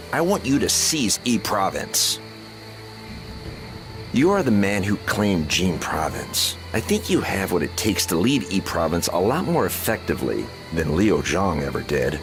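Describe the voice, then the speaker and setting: A man playing a sharp-tongued, scornful but loyal strategist in a dramatic video game, speaking bluntly and articulately. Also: sits low, big pitch swings, measured pace, music in the background, heavily acted. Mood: blunt, persuasive.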